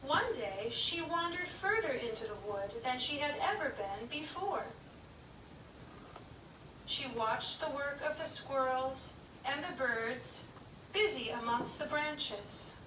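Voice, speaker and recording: A woman narrating a children's puppet show story in a slow, gentle, clearly paced reading. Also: high-pitched, lively intonation, background noise. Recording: echoey room, distant mic.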